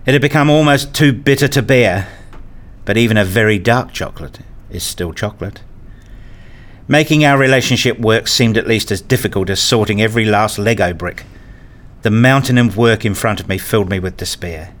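Low-voiced man narrating a personal story. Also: normal speed, fairly bright tone. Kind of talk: first-person scripted story narration with acted dialogue.

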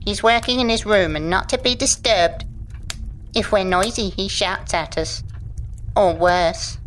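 A woman's voice: mid-range voice, measured pace, natural voice, rough recording. Woman acting an eerie, guarded child. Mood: guarded, ominous. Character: eerie, watchful child of a sinister household. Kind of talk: gothic horror audio drama acting. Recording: faint noise under the voice.

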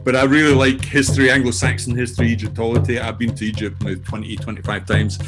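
An older Scottish man introducing himself as a guest in a loose, halting way, often laughing, over a remote call. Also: mid-range voice, little pitch movement, music in the background. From Scotland. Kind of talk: casual self-introduction.

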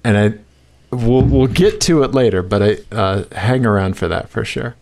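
A man's voice: fairly low pitch, normal speed, vocal fry, darkish tone. A man co-hosting a podcast in loose, casual conversation. He makes fun of himself and laughs easily. He sounds a bit stuffed up from allergies. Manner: joking, self-deprecating, laughs often. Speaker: podcast co-host. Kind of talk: casual chat.

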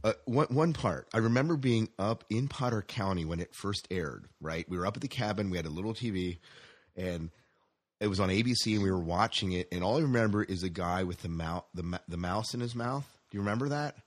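A man co-hosting a casual podcast chat about science fiction, halting and repeating his phrases as he steers the talk. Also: fairly low pitch, lively intonation. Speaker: podcast co-host.

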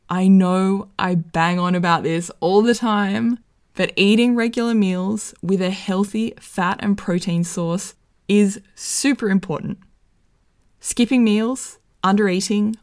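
Slightly croaky woman giving wellness tips. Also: on the low side, darkish tone. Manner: friendly, enthusiastic, conversational. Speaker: wellness vlogger. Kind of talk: casual advice to camera.

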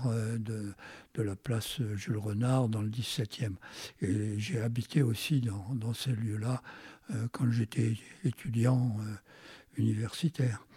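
A Frenchman in his nineties shares memories in an interview. His voice is dark and husky, recorded dry with little room sound. He speaks haltingly, searching for his words as he goes. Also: medium pitch, dry recording. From France. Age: 90s. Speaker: interview guest recalling his life. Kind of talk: unscripted reminiscence.

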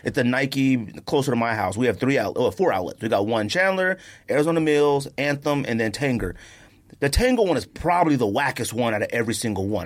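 An American man co-hosting a podcast, chatting casually and telling stories in a slangy, animated way. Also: mid-range voice. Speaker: podcast co-host. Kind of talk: casual sneaker podcast chat.

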